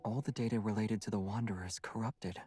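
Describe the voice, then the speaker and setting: Calm, understated young hunter. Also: male voice, lowish voice, fast, husky, soft background music. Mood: calm, serious. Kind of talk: scripted game voice acting.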